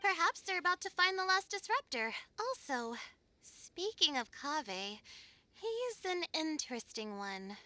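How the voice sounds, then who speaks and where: A woman's voice: high voice, very animated, measured pace, no echo, heavily acted. A woman voicing a thoughtful, composed young woman scholar in an anime-style video game. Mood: composed, musing. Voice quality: bright, youthful.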